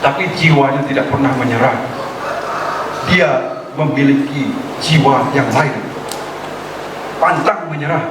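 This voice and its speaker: A male pastor preaching a sermon in a lively way, asking the congregation questions and acting out quoted voices. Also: mid-range voice, a little slow, pauses often. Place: church hall. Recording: echoey hall, distant mic, noise.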